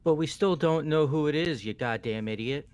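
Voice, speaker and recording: A man's voice: a little high, moderate pace, darkish tone, lightly acted. A man voicing a brash, impatient teenage tough guy in a fan-dubbed mystery game, blunt and foul-mouthed. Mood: irritated. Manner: blunt, gruff phrasing.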